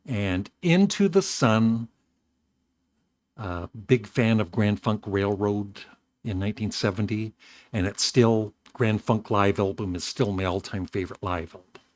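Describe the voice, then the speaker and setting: An older man from Canada, hosting his own show, chatting casually and rambling a little, his voice a bit rough today. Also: fairly low pitch, no room sound. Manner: rambling, self-correcting, warm chuckles. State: slightly rough voice. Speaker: host. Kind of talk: casual vlog talk.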